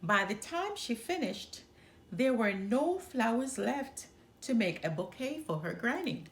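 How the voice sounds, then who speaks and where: A woman reading a children's story aloud as a storyteller, in an expressive narrating style. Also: a little high, measured pace, slight background noise. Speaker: storyteller and channel host.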